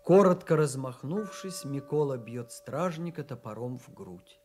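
An actor playing the grave narrator of a tragic radio play, reading scripted narration. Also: male voice, medium pitch, animated, unhurried, a little mellow, faint music behind, lightly acted. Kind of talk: slow scripted narration, 1980s radio drama.

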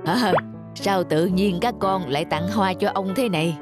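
Elderly cartoon grandfather: kind, generous, soothing, gentle. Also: high voice, measured pace, over music. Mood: reassuring. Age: older. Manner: gentle, reassuring. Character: kindly, generous old grandfather who mends bicycles. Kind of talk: cartoon dub acting.

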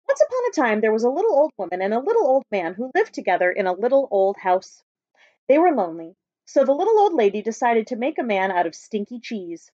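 A woman in her thirties reading a picture book aloud to schoolchildren with expressive storytelling. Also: on the high side, no room sound. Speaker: school staff reading to pupils. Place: small book-lined room at home. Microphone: webcam at arm's length. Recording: dull, lo-fi webcam sound.

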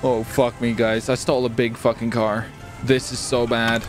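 A man chatting casually as he plays a roleplay game, excitable, swearing freely and repeating his phrases. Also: medium pitch, normal speed, soft background music. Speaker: video host and roleplay gamer. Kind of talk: casual chat while playing a crime roleplay game.

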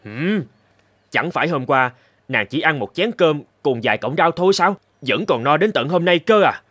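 A man's voice: on the high side, animated, normal speed, a bit bright, breathy edge. A man playing a stingy, suspicious, hot-tempered rich lord in a cartoon folktale, with pompous, exclamatory delivery. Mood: pompous, pleased. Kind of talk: animated folktale acting.

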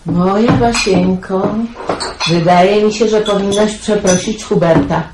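An actress playing a motherly farmhouse woman with a gentle, admonishing manner in a radio drama. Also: mid-range voice, a little slow, natural voice. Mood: gently reproving. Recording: roomy, noisy radio play recording.

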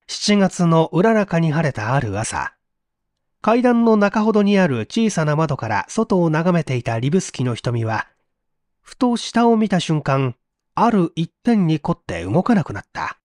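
A man reading a literary mystery story aloud in an expressive, theatrical storytelling manner. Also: fairly high pitch, unhurried, dry recording, studio quality. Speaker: reader of audio stories.

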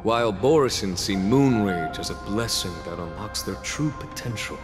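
An actor voicing a stately, composed general in a video game, speaking with calm authority. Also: male voice, sits low, natural voice. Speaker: game character. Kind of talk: dubbed game dialogue. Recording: long reverb with game music.

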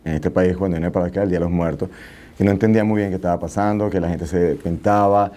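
A man's voice: low voice, steady tone, fast talker, warm tone. A middle-aged man with a Venezuelan accent, talking casually as an interview guest and repeating phrases for emphasis. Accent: Venezuelan Spanish. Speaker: interview guest, nightclub entrepreneur. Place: small indoor TV studio.

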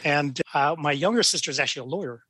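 American male, 60s, judge as podcast guest, lighter higher pitch, casual storyteller, dry recording. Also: quick pace, pushed and bright, breathy edge, no room sound. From California, United States.

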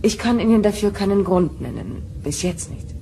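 Mellow, calm starship counselor. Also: female voice, medium pitch, moderate pace, creaky, a little hiss or hum. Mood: calm, concerned. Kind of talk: German audio drama acting.

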